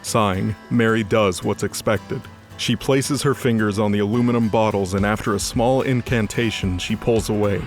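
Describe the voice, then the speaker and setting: A man narrates a scripted drama. His voice sits on the low side. Faint music runs underneath as he reads the action. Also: fairly even intonation, normal speed.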